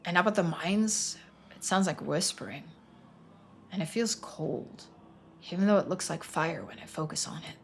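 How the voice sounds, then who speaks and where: A woman voicing a troubled young man trapped in his town, speaking haltingly and sometimes in a near whisper, for a story game. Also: on the low side, vocal fry, slight background noise. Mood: anxious, haunted.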